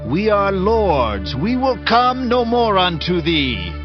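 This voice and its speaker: A man's voice: high voice, sing-song, slow, voice pushed up, exaggerated voice. A man voicing a defiant, haughty boaster among the people of Israel in a dramatized scripture reading. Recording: dull band-limited audio, music behind.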